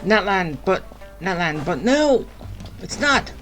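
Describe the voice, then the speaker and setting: A woman streams a game with casual, grumbling commentary. The pace is slow and the pitch middling, with a sarcastic edge. Game music sits in the background. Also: very animated, a bit bright.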